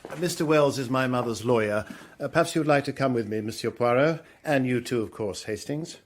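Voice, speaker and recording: An actor playing a blunt English country gentleman with an upper-class accent and clipped manner, in a radio drama. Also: mid-range voice, a little fast, lightly acted. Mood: polite. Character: well-bred, blunt English country squire, bereaved son.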